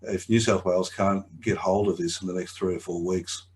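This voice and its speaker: A middle-aged man giving a webinar talk over a video call, slightly croaky, hesitant, often repeating his words. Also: low voice, fairly even intonation, normal speed, a little mellow. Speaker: webinar presenter. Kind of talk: conversational presentation.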